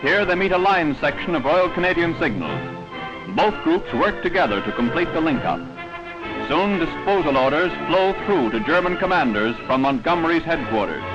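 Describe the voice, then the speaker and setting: A man's voice: fairly high pitch, very animated, moderate pace. A man narrating a wartime newsreel of the 1940s from a script, in a dramatic, declamatory manner. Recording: dull 1940s newsreel soundtrack. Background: music.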